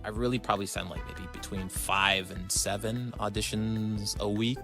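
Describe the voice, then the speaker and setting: A man's voice: lowish voice, little pitch movement, moderate pace, music in the background. A man talking casually as an interviewed voice actor, rambling and self-deprecating.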